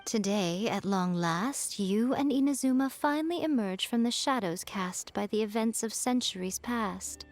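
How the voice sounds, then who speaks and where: A woman's voice: on the high side, lively intonation, normal speed, hardly any pauses, natural voice. A woman voicing a sly, teasing fox-spirit shrine priestess in a video game, playful and wry in her speech. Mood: gentle.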